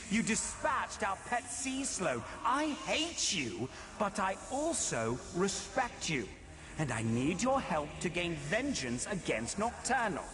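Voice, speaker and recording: High-voiced, theatrical villain prince in cavernous reverb. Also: male voice, fairly bright tone, a little hiss or hum, full character voice. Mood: bitter, vengeful. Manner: theatrical, silky, menacing, deliberate. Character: scheming, vengeful male daedric prince, theatrical and menacing. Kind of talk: scripted fantasy video game acting. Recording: long cavernous reverb, game ambience behind.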